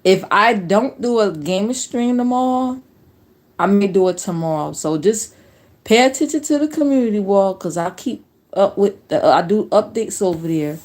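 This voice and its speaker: A woman in her late forties chatting casually on a live stream, telling stories in a relaxed, slangy way. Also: mid-range voice, normal speed. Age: 40s. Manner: relaxed storytelling with slang and laughs.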